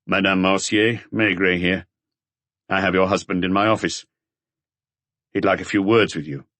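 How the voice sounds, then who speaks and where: A man playing a low-voiced, weary police inspector. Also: fairly even intonation, moderate pace, a bit bright, no room sound. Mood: businesslike. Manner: muted, matter-of-fact.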